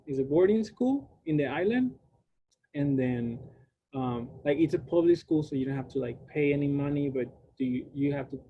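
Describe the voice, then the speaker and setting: A man's voice: fairly high pitch, mellow, dull audio, a little hiss or hum. A young man from Puerto Rico whose first language is Spanish, giving an online science talk with many fillers and restarts. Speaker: graduate student speaker. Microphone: video call.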